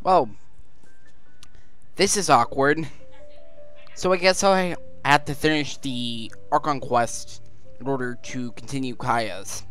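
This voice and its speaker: A man's voice: medium pitch, slow, pauses often, a bit bright, clean tone, faint music behind. A man giving casual gameplay commentary, hesitant and half talking to himself. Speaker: gaming video creator.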